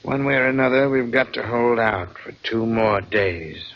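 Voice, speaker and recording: An actor playing a weary, fatherly ship's captain in an old radio drama, with a gravelly, husky voice. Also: medium pitch, moderate pace, close to an everyday voice. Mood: fatherly reassurance. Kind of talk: 1940s-50s radio drama acting. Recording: dull vintage radio drama recording.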